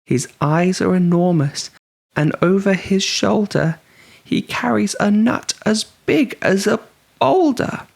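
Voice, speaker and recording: A narrator reading a children's story aloud expressively, with dramatic, hushed suspense. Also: medium pitch, takes it slowly, creaky.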